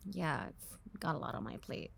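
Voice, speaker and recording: A woman's voice: sits low, animated, normal speed, vocal fry, husky, heavily acted. A woman acting in an audio drama as a guarded, defiant operative, with a curt, blunt, dry delivery. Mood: guarded, matter-of-fact.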